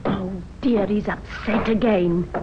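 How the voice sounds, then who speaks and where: A woman's voice: mid-range voice, steady tone, slow pace, highs cut off, lightly acted. Fretful mother on noisy old radio, woman acting. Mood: anxious. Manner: fretful, soothing. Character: anxious, pleading, placating middle-aged mother, woman. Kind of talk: 1950s radio drama acting.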